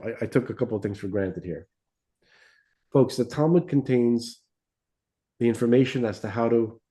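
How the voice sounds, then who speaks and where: A man with a mellow, relaxed voice at an ordinary middle pitch talks as a podcast co-host. He explains things didactically in casual discussion, often pausing to check that the listener follows. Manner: didactic, checks in with "right?" and "okay?".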